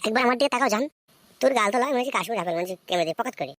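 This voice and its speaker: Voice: medium pitch, animated, rapid-fire, fairly bright tone, no echo. A performer voicing a lovestruck, lecherous young village man in a comic cartoon, wheedling and sweet-talking. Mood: lustful, cajoling. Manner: wheedling, cajoling. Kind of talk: comic Bengali cartoon voice acting.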